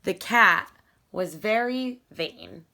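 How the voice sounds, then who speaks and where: A woman reading a children's storybook aloud in a deliberate, emphatic, teacherly storytelling style. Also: mid-range voice, lively intonation, vocal fry, bright, forceful tone.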